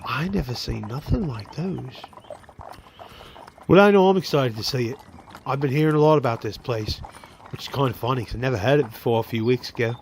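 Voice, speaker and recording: A man's voice: medium pitch, lively intonation, a little fast, vocal fry, a little hiss or hum. A man playing a curious, chatty young cart hand in a fantasy radio drama, rambling in plain speech. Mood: amazed, puzzled. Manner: rambling, wondering, plain-spoken.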